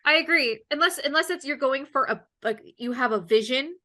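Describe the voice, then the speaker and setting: A woman's voice: high-pitched, brisk, bright, forceful tone. A woman hosting a casual interview on a video call, chatty and rambling with plenty of fillers. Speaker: interviewer, channel host.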